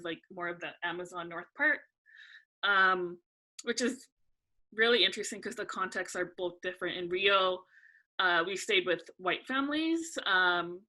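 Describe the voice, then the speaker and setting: Young woman guest from California, bright tone, lowish pitch, unhurried and thoughtful. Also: low-quality recording. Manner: relaxed, thoughtful, frequent "um" pauses. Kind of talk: casual interview conversation.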